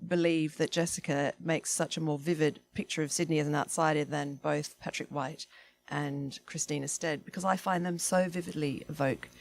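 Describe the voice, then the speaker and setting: A woman's voice: low-pitched, steady tone, moderate pace. A woman putting questions in a literary discussion, in a tentative, roundabout way. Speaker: panel questioner.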